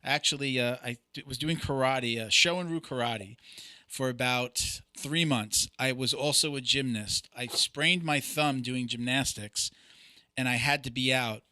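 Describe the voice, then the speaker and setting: A man's voice: medium pitch, a little slow, sharp tone, no echo. A man hosting a podcast, chatting earnestly in a casual interview and sharing his own stories. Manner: earnest, chatty, hesitant with fillers. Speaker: podcast host.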